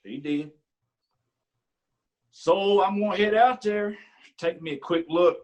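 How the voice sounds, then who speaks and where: A man playing a rural lawman in a staged reading, needling others with slow, threatening questions. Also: sits high, dull audio. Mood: menacing. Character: menacing man who is the local law.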